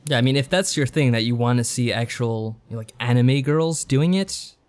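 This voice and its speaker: A man's voice: medium pitch, normal speed. A man co-hosting a podcast in casual review chat, with a wry, questioning, conversational manner. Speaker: podcast co-host. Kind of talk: casual review chat about visual novels.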